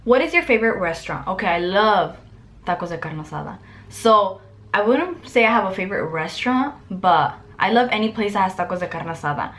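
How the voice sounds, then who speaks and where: Young woman, Mexican-born, Spanish first language; relaxed bedroom vlog chat with loose fillers, close to the camera. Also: medium pitch, a little fast. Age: young adult. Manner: chatty, many "like" fillers. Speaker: vlogger. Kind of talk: casual Q&A chat. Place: bedroom, indoors.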